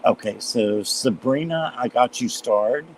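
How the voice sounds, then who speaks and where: A man with a slight cold and a hoarse voice, chatting casually as he hosts a live tarot stream and greets viewers by name. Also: mid-range voice, measured pace, a little hiss or hum, rough recording.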